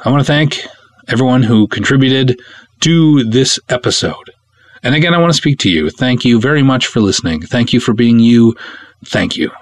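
A man's voice: medium pitch, animated, moderate pace, no echo. An American man from Minnesota with a playful, theatrical manner, reading and hosting expressively as a podcast host. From Minnesota, United States.

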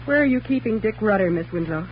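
An actress playing a quippy, unflappable newspaper assistant with sardonic delivery in a 1940s radio crime drama. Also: female voice, mid-range voice, lively intonation, fast talker, a little hiss or hum, natural voice. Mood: wry, teasing. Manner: sardonic wisecracks. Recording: muffled, humming old radio recording.

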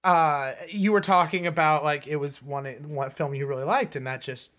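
A man co-hosting a podcast, chatting casually about comics and films in a rambling, halting way with false starts. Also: on the high side, steady tone, a bit bright. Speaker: podcast co-host. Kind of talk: casual comics and film chat.

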